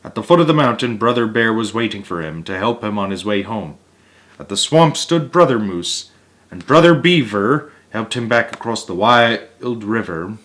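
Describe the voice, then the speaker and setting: A man's voice: fairly low pitch, expressive, moderate pace, a bit bright. A man reading a children's picture book aloud, breaking off for casual chatty asides and sometimes stumbling and restarting words. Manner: chatty asides and small chuckles between passages.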